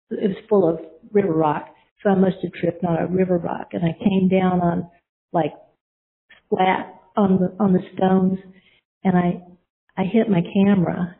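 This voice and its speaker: A woman's voice: mid-range voice, slight background noise. A middle-aged woman sharing a personal testimony, telling her story earnestly and rambling, with many fillers and restarts. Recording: tinny phone-like line.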